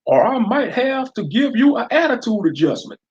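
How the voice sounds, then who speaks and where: A man's voice: very high-pitched, big pitch swings, moderate pace, a little mellow, lo-fi sound, pitched above the performer's own voice. A man voicing a bullying small-town sheriff with a Southern drawl, sneering and menacing. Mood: threatening.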